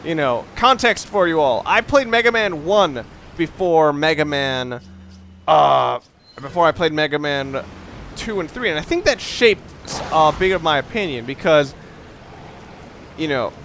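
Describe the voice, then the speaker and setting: A man's voice: a little high, expressive, unhurried, fairly bright tone, slight background noise. A young man giving casual, rambling gameplay commentary, swearing often and poking fun at himself. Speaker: let's play commentator.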